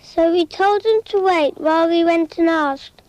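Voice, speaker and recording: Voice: extremely high pitch, a little slow, a little mellow, dry recording, rough recording. An actor voicing a small child who narrates a cautionary cartoon in a simple, childlike storytelling way. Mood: earnest.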